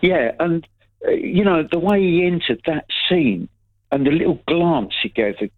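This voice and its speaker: A man calling in to a radio show, speaking thoughtfully and haltingly. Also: on the high side, animated. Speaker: caller. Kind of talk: casual phone-in chat. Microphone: telephone. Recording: tinny phone line.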